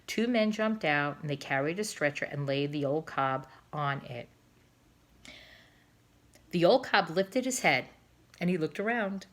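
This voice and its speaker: A woman reading a children's storybook aloud in an expressive narrating style. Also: low-pitched, unhurried, pauses often. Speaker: storybook reader.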